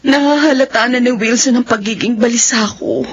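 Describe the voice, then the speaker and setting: An actress with a Filipino accent playing an anguished wife with a secret, hesitant and emotional, in a radio drama. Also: female voice, fairly high pitch, brisk, background noise, natural voice. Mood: troubled. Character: anguished wife hiding a secret, pleading and defiant. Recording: dull radio sound with music.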